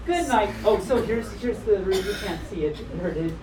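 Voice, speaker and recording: A woman's voice: mid-range voice, animated, normal speed. A woman reading storybooks aloud live, with playful, wry asides as she breaks off to comment on the text. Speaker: storyteller reading picture books to a live group. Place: live session at a convention. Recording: roomy, distant live event recording with clear noise.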